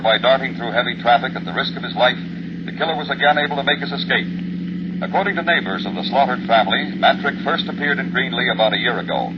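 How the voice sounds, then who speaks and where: A man's voice: mid-range voice, natural voice. Man playing old-time radio news guy, clipped and formal, muffled sound with tons of noise. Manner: formal, clipped bulletin delivery. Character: brisk, formal 1950s radio newscaster. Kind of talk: 1950s radio news reading. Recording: dull, noisy old radio recording.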